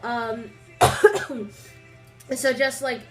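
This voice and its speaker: A woman's voice: on the high side, extremely slow, loud music behind the voice, low-quality recording. A young woman with a stuffy nose chatting casually into a webcam in a small room, rambling with lots of fillers. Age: young adult. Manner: rambling, filler-heavy, animated storytelling. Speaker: vlogger.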